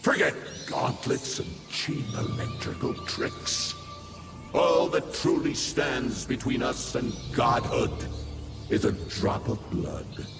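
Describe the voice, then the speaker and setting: Game villain, menacing older patriarch: gravelly, slow, wildly swinging pitch, grandiose, loud music behind. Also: male voice, huge pitch swings, full character voice. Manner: slow, grandiose, bursting into shouts. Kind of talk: dramatic game cutscene acting.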